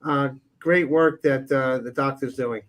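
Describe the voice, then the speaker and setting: A man's voice: medium pitch, steady tone, measured pace. A man hosting an interview show, closing the segment with short affirming replies. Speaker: show host. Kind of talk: interview conversation.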